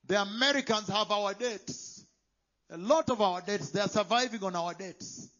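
A man preaching a sermon, emphatic, moving between loud declarations and hushed whispers. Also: high-pitched, moderate pace. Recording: dull lo-fi sound.

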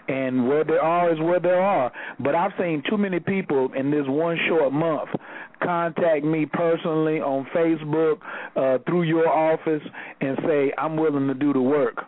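A man's voice: a little high, normal speed, no echo. Male radio host, urgent motivational talk, dry close sound. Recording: tinny phone line.

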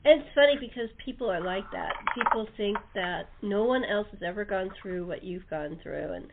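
A woman's voice: medium pitch, normal speed, heavy background noise. A woman hosting a casual radio chat, rambling and often restarting her sentences as she goes. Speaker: radio show host. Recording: muffled narrow-band call-in audio.

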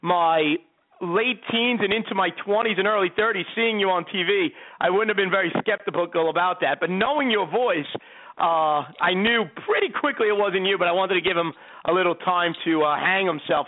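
A man's voice: high voice, fairly quick. A man in his thirties hosting a fantasy sports radio show, rambling and repeating words as he talks. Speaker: host. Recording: tinny phone-like sound.

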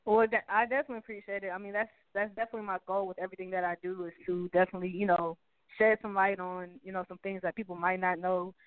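A woman's voice: mid-range voice, flat delivery, quick pace, no echo. Upbeat young woman on a phone line. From Texas. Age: 20s. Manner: upbeat, many fillers and repeats. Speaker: guest. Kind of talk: casual interview chat.

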